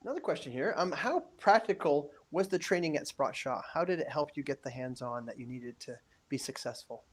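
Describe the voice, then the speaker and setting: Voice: medium pitch, expressive, fairly quick. An instructor hosting a webinar, asking a former student questions and rephrasing them on the spot. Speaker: instructor and interviewer. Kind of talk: webinar interview.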